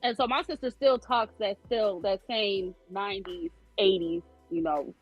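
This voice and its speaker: A woman's voice: medium pitch, fairly even intonation, measured pace, music in the background. A woman from Chicago co-hosting a podcast, telling chatty stories with a lot of "like" and "you know.". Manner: chatty, storytelling, many fillers. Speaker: podcast co-host. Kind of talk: casual chat.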